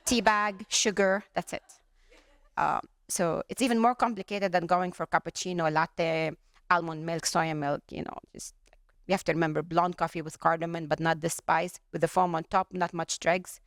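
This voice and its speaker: Audience member, woman from Lebanon, lowish and close-miked, chatty and listing examples. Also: fairly even intonation, moderate pace, no echo. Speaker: workshop participant. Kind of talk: audience comment at a workshop.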